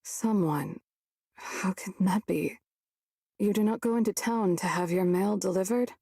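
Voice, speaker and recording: A woman's voice: medium pitch, unhurried, dark tone, slightly rough, no room sound, studio quality. A woman voicing a reserved, mysterious young maiden in a visual novel, formal and coolly direct in her questions. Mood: cool, probing.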